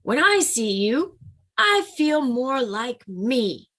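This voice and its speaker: A woman voicing a small, loud female bunny who insists she is a bear, speaking in high, drawn-out, emphatic roars. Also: sing-song, full character voice. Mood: boisterous.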